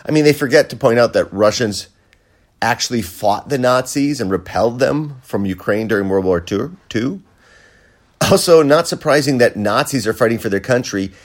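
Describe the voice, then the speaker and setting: A man's voice: mid-range voice, animated, normal speed. An American man hosting a podcast, delivering a sarcastic, profane opinion rant. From United States. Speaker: podcast host. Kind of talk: opinion monologue.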